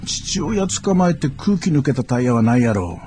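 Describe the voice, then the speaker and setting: An actor playing a gruff, good-natured middle-aged father who repairs bicycles, grumbling and blustering with a Kansai accent in a radio drama. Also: mid-range voice, big pitch swings, normal speed, a little mellow, soft background music, natural voice. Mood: gruff, awkward.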